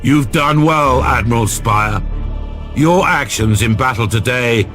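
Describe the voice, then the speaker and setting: A man voicing a grim, zealous Inquisitor in a sci-fi game cutscene, solemn, deliberate and ominous. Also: mid-range voice, a bit bright, faint music behind, lightly acted. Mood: stern, resolute.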